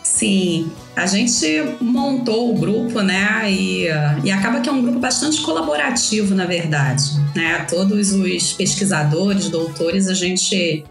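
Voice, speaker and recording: A woman professor, a guest on a podcast, speaking in a relaxed, conversational way with frequent tag questions. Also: mid-range voice, roomy sound. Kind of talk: podcast interview.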